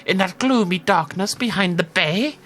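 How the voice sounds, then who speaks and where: A man putting on a high, drawn-out voice for a creepy old woman lighthouse keeper he says sounds like a gremlin. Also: fairly bright tone. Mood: ominous. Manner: high cackling put-on old-lady voice, drawn out.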